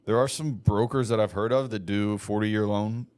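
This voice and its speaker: A young man co-hosting a casual podcast, giving matter-of-fact advice with quiet deadpan jokes. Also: lowish voice, moderate pace, clean tone, no room sound. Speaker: podcast co-host, mortgage lender. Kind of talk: casual chat.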